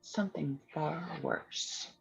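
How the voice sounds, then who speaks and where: A woman's voice: sits low, takes it slowly, gravelly, slightly rough, soft background music, exaggerated voice, poor audio. A woman playing Mary Shelley as an intense, teasing storyteller in a staged reading, pointed and suspenseful. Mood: taunting, eerie. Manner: suspenseful, pointed, shifting into hushed tones. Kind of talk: dramatic staged reading of a gothic tale.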